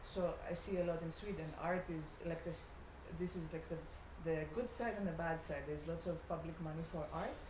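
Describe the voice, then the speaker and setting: A woman from Greece with a Greek accent, a non-native English speaker, answering interview questions reflectively and haltingly as she searches for words. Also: deep voice. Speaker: artist being interviewed. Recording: echoey room with clear background noise.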